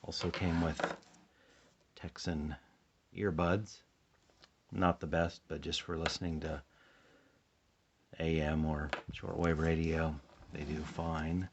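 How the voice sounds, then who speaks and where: An American man chatting casually through a hands-on demonstration, low-key and unhurried, thinking aloud and sounding a little sleepy. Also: little pitch movement, noisy background. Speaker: hobbyist demonstrating a shortwave radio. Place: indoors at a tabletop, close to the camera. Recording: dull, muffled home recording.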